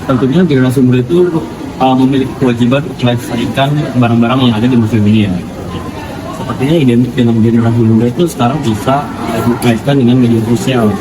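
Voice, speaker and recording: A man's voice: medium pitch, steady tone, fast talker. A man from Yogyakarta gives explanatory, thoughtful answers in an informal interview as a visitor. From Yogyakarta, Indonesia. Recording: clearly noisy public-place recording.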